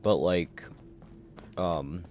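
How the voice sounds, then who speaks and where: A tired man with a deep voice and a dry throat, rambling in casual livestream chat full of fillers. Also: extremely slow, a little mellow, slight background noise.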